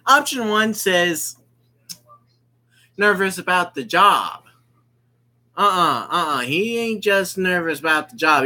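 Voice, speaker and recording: A young man casually commenting on a visual novel and reading its text aloud, slangy and excitable. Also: a little high. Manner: slangy, excitable, exclaims often. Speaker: let's play gamer.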